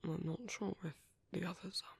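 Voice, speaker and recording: A man playing a gentle, shy British vampire priest in a soft, intimate ASMR roleplay, with breathy whispering and a hesitant, tender manner. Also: high voice, little pitch movement, fairly quick, no echo, heavily acted. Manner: hesitant, flustered, tender, formal wording.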